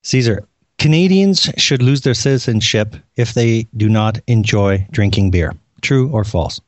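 A man co-hosting a podcast, asking questions in a relaxed, direct way. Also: lowish voice, a little slow, no room sound. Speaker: podcast co-host. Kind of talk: casual interview talk.

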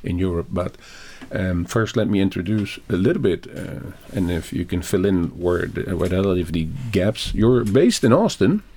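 A middle-aged man hosting a radio interview in a studio, animated and rambling with many restarts. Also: low voice, moderate pace, hardly any pauses. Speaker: radio host.

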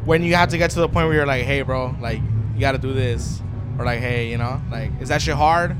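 A man hosting a podcast, talking loudly and casually, swearing often. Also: mid-range voice, a little fast, loud music behind the voice. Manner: loud, swears often, animated. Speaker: podcast host. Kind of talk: casual chat.

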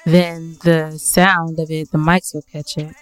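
A woman's voice: sits low, little pitch movement, unhurried, clean tone, soft background music. Playful woman co-hosting a podcast. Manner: playful, teasing. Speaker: podcast co-host. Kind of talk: casual banter between co-hosts.

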